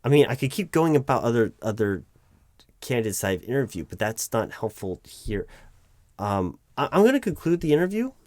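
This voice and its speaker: A low-pitched, dry-sounding recruiting manager, acted out by a man, flustered and exasperated. He halts, stammers and repeats himself as he objects, in a spoken-aloud office roleplay. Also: darkish tone, dry recording. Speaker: roleplay performer. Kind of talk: improvised one-sided roleplay, loud spoken ASMR.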